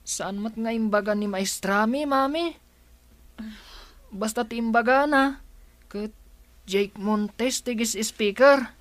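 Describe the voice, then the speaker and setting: Woman playing an earnest, unhurried Filipino schoolboy. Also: a little high, no room sound. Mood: eager. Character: earnest schoolboy son, eager and questioning. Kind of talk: radio drama acting.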